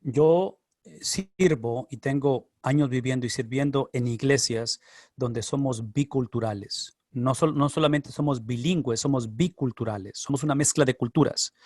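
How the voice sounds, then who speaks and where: A man's voice: medium pitch, normal speed, a little mellow, no echo, clean studio sound. A man who is a pastor and Bible teacher, answering class questions in an explanatory way, repeating phrases for emphasis. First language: Spanish.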